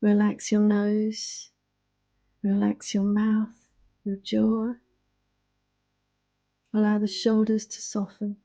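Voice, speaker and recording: A woman guiding a yoga nidra relaxation in a soothing, hushed, unhurried manner, close to the microphone. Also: mid-range voice, monotone, no echo, highs cut off. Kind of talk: guided relaxation meditation.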